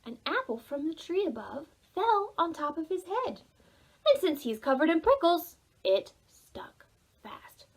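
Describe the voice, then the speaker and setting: A woman with a high, lively voice tells a story to small children. She takes her time and draws words out in a sing-song way, with big swings in pitch. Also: rough recording. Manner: sing-song, drawn-out words with repeated refrains. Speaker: children's librarian leading storytime. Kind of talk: animated preschool storytelling.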